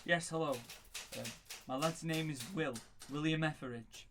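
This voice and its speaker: A man's voice: on the high side, moderate pace, background noise, lightly acted. An actor playing the earnest, anxious middle-aged father of a young soldier in an audio drama.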